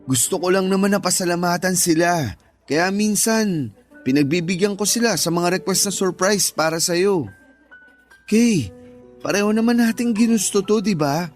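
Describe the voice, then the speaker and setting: Guy acting young filipino boyfriend in radio drama, quite high voice, placating, defensive. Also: moderate pace, close to an everyday voice. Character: defensive, eager-to-please young boyfriend, a content creator. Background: music.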